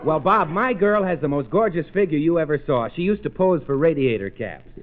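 Animated American man, vintage radio announcer. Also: fairly high pitch, brisk. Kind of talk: 1930s radio announcing and sketch banter. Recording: tinny narrow old radio broadcast.

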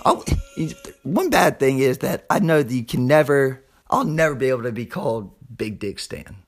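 A man with a Southern US accent and a slightly hoarse voice, hosting an informal sports podcast like a hyped showman, stretching words and repeating phrases. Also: mid-range voice, animated, normal speed, soft background music. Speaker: podcast host.

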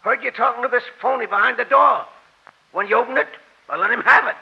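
High, gravelly gangster henchman. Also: quick pace. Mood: agitated. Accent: American. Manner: slangy, ungrammatical thug talk. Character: crude, eager male gangster henchman. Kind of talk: 1940s radio acting. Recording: muffled old radio recording.

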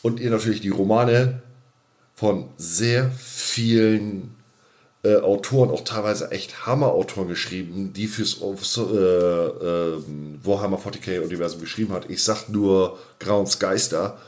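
A man's voice: low-pitched, a little slow, darkish tone. A man co-hosting a casual podcast, talking colloquially and emphatically and often repeating his phrases. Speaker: podcast co-host.

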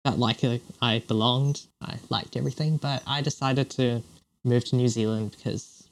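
A man's voice: medium pitch, fairly even intonation, normal speed. A young man from Hong Kong co-hosting a podcast, chatting casually with dry, wry humor. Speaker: podcast co-host. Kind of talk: casual chat.

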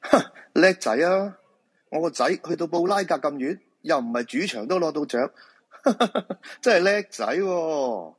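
Guy acting middle-aged hk cantonese feng shui master, bit husky, casual radio drama husband. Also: on the high side, lively intonation, measured pace. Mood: proud, delighted. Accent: Hong Kong Cantonese. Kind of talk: live radio drama dialogue.

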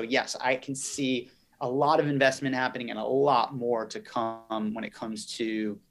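A man speaking as an interview guest, explaining things articulately in the business language of a company executive. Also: lowish voice.

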